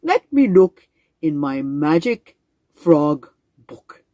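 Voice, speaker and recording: A wise old frog who talks in slow, weighty phrases, his voice pitched a little lower than the teller's own. A woman acts him out in a storybook reading. The sound is close and dry. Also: very animated, warm tone, no echo, full character voice. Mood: thoughtful.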